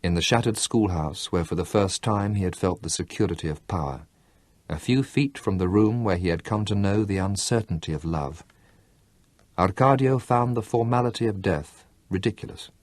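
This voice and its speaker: A man narrating a novel as an audiobook, in a measured storytelling manner. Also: sits low, darkish tone, dry recording.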